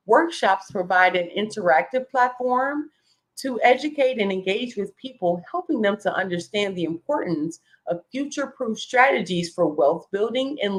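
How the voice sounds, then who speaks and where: A woman's voice: mid-range voice, rough recording. Woman, middle-aged, live reading host, casual asides, reads list items, corrects herself. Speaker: host reading a document aloud.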